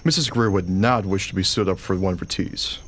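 An actor playing a young schoolteacher husband in a radio play, anxious, dutiful and a little exasperated. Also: male voice, low voice, brisk, fairly bright tone. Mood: harried. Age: young adult. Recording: faint background noise.

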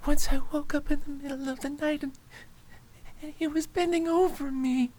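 A woman's voice: high voice, fairly even intonation, moderate pace, mellow, a little hiss or hum, natural voice. A woman playing a sickly, frightened boy lord in a radio drama, pleading and anxious, sometimes whispering. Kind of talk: amateur radio drama acting.

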